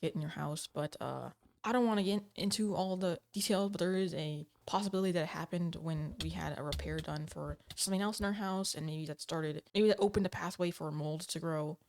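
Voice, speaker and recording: A woman's voice: fairly low pitch, brisk, hardly any pauses, darkish tone. A woman talking casually to camera from home, rambling and hesitant with many fillers and restarts, her voice a little off from being sick. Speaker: gaming channel host. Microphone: desk microphone.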